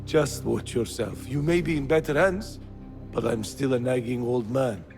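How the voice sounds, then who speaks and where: An actor playing a devout, fond old rebel merchant with a gravelly voice in a video game. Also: male voice, medium pitch, normal speed, over music, lightly acted. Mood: fond, teasing. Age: older. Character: devout, nagging old rebel merchant, warm-hearted and grieving. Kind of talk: video game cutscene acting.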